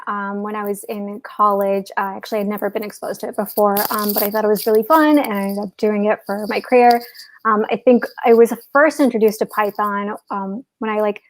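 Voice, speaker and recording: A woman's voice: medium pitch, dry recording, low-quality recording. A young woman from Chicago with a Chicago accent, chatting casually as a guest on a livestream video call, giggly and full of "um" and "like". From Chicago, USA. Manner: chatty and giggly, many fillers like "um" and "like". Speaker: guest speaker, software developer.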